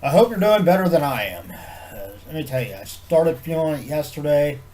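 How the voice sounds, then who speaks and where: A man with a head cold, sounding congested and nasal, chatting to camera in a low-key, meandering way with loose swearing. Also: sing-song, normal speed, darkish tone. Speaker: vlogger. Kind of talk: rambling casual chat to camera.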